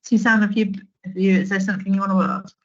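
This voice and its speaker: A woman's voice: mid-range voice, level tone, quick pace, muffled recording. A woman in her forties, a social work trainer, giving an online training talk in a conversational way, with restarts and fillers.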